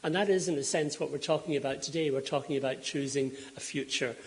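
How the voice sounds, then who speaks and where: A man's voice: a little high, fast. A man with a Scottish accent shaped by Donegal roots, giving a public lecture on climate to an audience, explaining slides with ums and self-corrections. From Scotland. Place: lecture hall before an audience.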